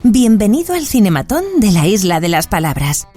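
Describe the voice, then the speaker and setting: A woman's voice: mid-range voice, sing-song, normal speed, mellow, soft background music. A woman voicing a formal quiz-booth machine. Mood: formal prompting. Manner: formal, announcing machine prompts. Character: female automated movie-booth machine, formal. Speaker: quiz host voice.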